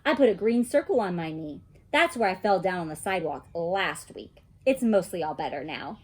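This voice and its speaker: A woman reading a children's story aloud in an expressive storytelling style, like a teacher. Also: on the low side, normal speed.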